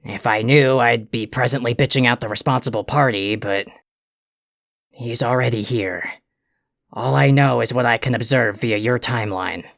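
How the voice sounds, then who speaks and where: An actor performs a cranky alien troll for an audio drama. The voice is gravelly and full of creak. The delivery is bossy, pompous and scathing, like someone forever fed up. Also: mid-range voice, moderate pace, a bit bright. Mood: irritated, scolding. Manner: ranting, insulting, pompously wordy. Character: irritable, foul-mouthed, bossy alien troll, self-important.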